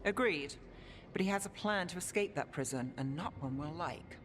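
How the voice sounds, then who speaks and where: An actress playing a shrewd, cynical fantasy adventurer in a video game, with a dry, wry way of talking. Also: female voice, on the low side, expressive, normal speed, a little hiss or hum, lightly acted. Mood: wary. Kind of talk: dramatic video game dialogue. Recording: music behind the voice.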